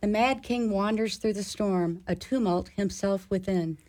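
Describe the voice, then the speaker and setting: Woman narrator for a radio play, medium pitch, reading scene intros. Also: unhurried, noisy background. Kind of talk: scripted narration.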